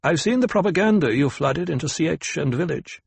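Man acting a stiff, high-pitched, gravelly planetologist. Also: lively intonation, fairly quick, dry recording, exaggerated voice. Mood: guarded, sour. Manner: stiff, curt, formal, emphatic. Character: proud, stiff, guarded male planetologist of a desert world. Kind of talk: audiobook character acting.

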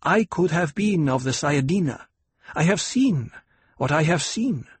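A man voicing a fierce, protective woman, a desert nursemaid, speaking forcefully and scolding. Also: on the high side, expressive, unhurried, husky, dry recording, voice pushed up, heavily acted. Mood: proud, insistent.

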